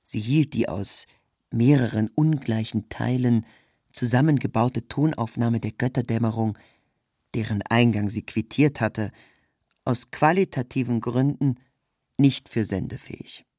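Male narrator for literary prose: slightly husky mid-range voice, measured and formal, recorded very dry. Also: mid-range voice, dark tone, no room sound. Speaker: narrator, reader.

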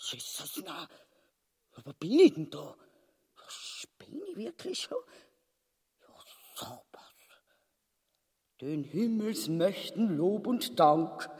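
A man acts out a greedy, scheming old-world shrew of a wife in grotesque comedy, ranting in coarse Austrian dialect. The voice is husky and croaky and swings widely in pitch, full of theatrical self-pity. It rings in a long hall reverb. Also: big pitch swings, takes it slowly, long pauses, darkish tone, heavily acted. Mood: startled, sly. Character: Mother Ubu, crude greedy scheming wife on the run. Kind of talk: grotesque stage comedy acting.

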